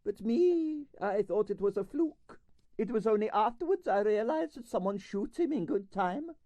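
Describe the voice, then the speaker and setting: A man voicing a vain, bossy older Russian ballerina in a storybook, with a Russian accent, shrill falsetto and dramatic broken English. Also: animated, normal speed, warm tone, no echo, lo-fi sound. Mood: frank, emphatic.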